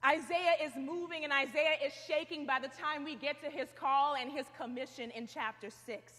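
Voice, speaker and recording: A woman's voice: high voice, steady tone, normal speed, fairly bright tone. A young woman preaching a sermon, telling stories animatedly and acting out what she says. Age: young adult. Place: large stone chapel. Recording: long hall reverb.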